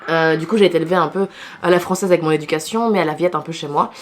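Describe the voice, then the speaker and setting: A woman's voice: low-pitched, racing. A woman in her thirties from France, chatting casually into her camera as a vlogger and chef, with lots of fillers and laughs. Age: 30s. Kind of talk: casual vlog chat.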